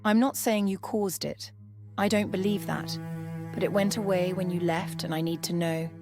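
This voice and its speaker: A woman's voice: fairly low pitch, fairly even intonation, normal speed, over music, natural voice. Woman acting careful, anxious village mother. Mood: pleading. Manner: careful, hesitant, plain. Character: plain-spoken village mother, anxious, cautious, lower-voiced.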